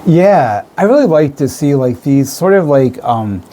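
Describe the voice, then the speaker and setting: A man's voice: fairly high pitch, expressive, unhurried, dark tone. An American man hosting a casual radio chat, talking chattily and leaning often on "like" and "right". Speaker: radio host, interviewer. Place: radio studio.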